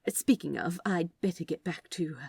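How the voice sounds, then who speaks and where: A woman's voice: lowish voice, moderate pace, vocal fry, dark tone, husky, no room sound, studio quality, full character voice. A woman voicing a flustered, prim and polite male receptionist who stammers and apologises in an audiobook-style story.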